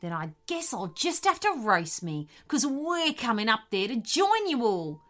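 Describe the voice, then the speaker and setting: Lady doing a growly wild dingo boss for a kids storybook, slow and sneering, mock friendly. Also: fairly high pitch, big pitch swings, pushed and bright, exaggerated voice. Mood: amused, sceptical. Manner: taunting, matey slang. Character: menacing male lead dingo, growling, mockingly friendly predator.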